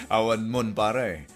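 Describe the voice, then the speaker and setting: A man playing a blunt drinking buddy. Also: lowish voice. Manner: teasing and lecturing. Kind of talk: amateur comedy drama acting.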